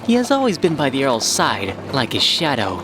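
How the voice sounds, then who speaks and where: Woman playing a genial, low-voiced easygoing companion. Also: huge pitch swings, normal speed, background noise, heavily acted. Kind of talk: anime-style manga dub acting. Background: music.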